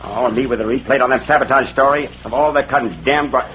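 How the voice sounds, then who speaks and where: An actor playing a hard-bitten, brusque newspaper boss, ruthless and harried, in 1940s radio drama style. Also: male voice, mid-range voice, a little fast, close to an everyday voice. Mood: harried, angry. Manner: brusque, blustering. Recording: noisy, muffled old radio broadcast recording.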